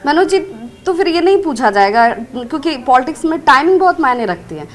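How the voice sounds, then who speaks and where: Woman tv news interviewer, kinda high voice, formal questions, bit of background noise. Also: big pitch swings, a little fast. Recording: noticeable background ambient noise.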